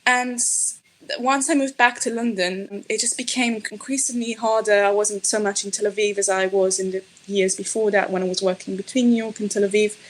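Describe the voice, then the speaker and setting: A woman's voice: medium pitch, fairly even intonation, brisk, poor audio. Thoughtful woman from Israel, Hebrew native. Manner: thoughtful, hesitant, many fillers and restarts. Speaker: podcast guest, fashion designer. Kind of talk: conversational interview.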